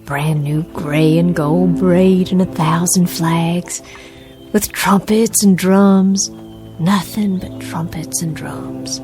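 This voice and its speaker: An actress playing a genteel, frail, wistful war widow with a gracious, reflective manner in a radio drama. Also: female voice, lowish voice, fairly even intonation, slow pace, music in the background. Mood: sorrowful, remembering.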